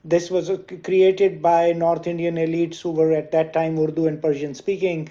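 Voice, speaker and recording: A man talking as a guest, explaining in a measured, lecturing way with frequent hesitations. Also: a little high, little pitch movement, warm tone. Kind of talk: explanatory discussion. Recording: dull lo-fi audio.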